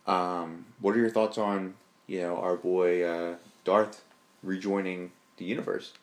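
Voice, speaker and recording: A man co-hosting a podcast, chatting casually and enthusiastically about films, with a deep voice and lots of fillers. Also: fairly even intonation, a little slow. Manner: rambling, enthusiastic fan talk with fillers. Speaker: podcast co-host. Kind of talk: casual chat.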